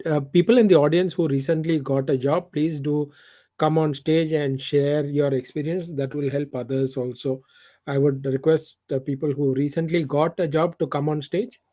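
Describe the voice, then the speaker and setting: A man with a fairly high voice explains how new grad roles work. He speaks plainly, repeating his main points so they stick. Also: dark tone. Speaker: meetup organizer. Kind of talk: giving career advice.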